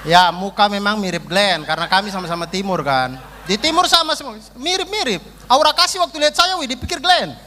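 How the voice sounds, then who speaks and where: A man's voice: sits high, brisk, few pauses, crowd noise. A man with an eastern Indonesian accent performing stand-up comedy, repeating phrases for emphasis. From East Nusa Tenggara, eastern Indonesia. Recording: reverberant hall, faint noise.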